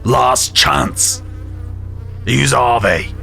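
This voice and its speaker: A man playing a brutal, menacing military officer who speaks with slow, threatening command in an audio drama. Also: medium pitch, creaky, exaggerated voice. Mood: threatening, impatient. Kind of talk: audio drama villain acting.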